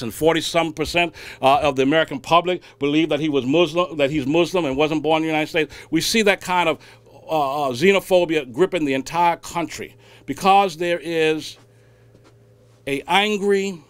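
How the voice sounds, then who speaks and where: A man speaking emphatically and deliberately at a news conference, repeating phrases for weight as he makes a public statement. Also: a little high, a bit bright, clean tone, no room sound. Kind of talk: public statement, impassioned speech.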